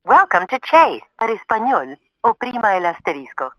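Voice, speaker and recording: A woman's voice: medium pitch, sing-song, fairly quick, no room sound. A woman reading a recorded bank phone menu announcement, heard down a phone line.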